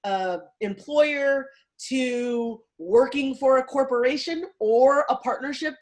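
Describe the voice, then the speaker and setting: A woman from California talks on a video call in a lively, quick manner. Her voice is fairly high. She tosses in quick asides as she goes. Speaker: law professor. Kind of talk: casual talk on a video call.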